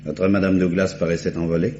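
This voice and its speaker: An actor playing a matter-of-fact, methodical police inspector in a vintage French radio drama, with measured, deductive delivery. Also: male voice, low voice, level tone, dark tone, close to an everyday voice. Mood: brisk, searching. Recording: dull, noisy old radio recording.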